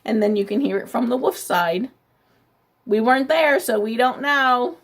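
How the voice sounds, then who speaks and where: A woman's voice: on the high side, moderate pace. A woman storytime reader talking casually and directly to young children, explaining as she goes. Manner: explanatory, addresses listeners directly. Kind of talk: read-aloud with casual asides to children.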